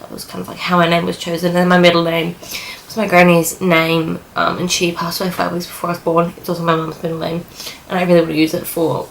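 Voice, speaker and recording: A young woman talks in a lowish voice with a noticeable crackle of vocal fry. She grew up in New Zealand and Australia and chats informally as a vlogger, wandering through her thoughts and rewording as she goes. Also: monotone, quick pace. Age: young adult. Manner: rambling, frequent "like" and self-corrections. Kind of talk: casual sit-down vlog chat.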